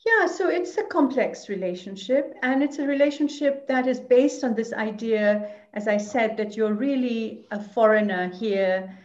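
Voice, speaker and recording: A woman's voice: on the high side, normal speed, few pauses, a little mellow, reverberant, dull audio. A woman commentator answering interview questions thoughtfully, with many fillers and restarts. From Belgium.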